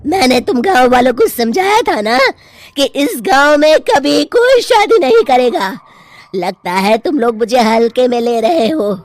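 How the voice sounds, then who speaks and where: A woman's voice: sits high, animated, unhurried, no echo. A woman voicing a menacing witch in a children's cartoon, speaking in a threatening way with cackles. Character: menacing female witch who haunts village weddings. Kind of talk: children's cartoon story acting.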